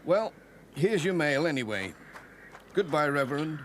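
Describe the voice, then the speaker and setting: A man acts a cheerful British village postman in a children's cartoon. He is polite and folksy, with a slightly high, animated voice over faint noise. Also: a little slow. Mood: mildly hurried.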